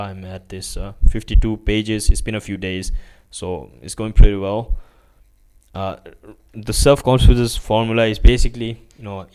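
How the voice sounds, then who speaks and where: A man's voice: lowish voice, a little mellow. A young man from Pakistan filming a casual day-in-the-life vlog in his bedroom, rambling through his routine with lots of fillers and restarts. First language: Urdu is his country's language, but not his first language. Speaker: vlogger. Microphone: phone on a tripod.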